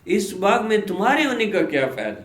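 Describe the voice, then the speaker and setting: A man's voice: high-pitched, wildly swooping pitch, echoey room, voice pushed up. Man playing a commanding, inquisitive storybook king. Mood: demanding.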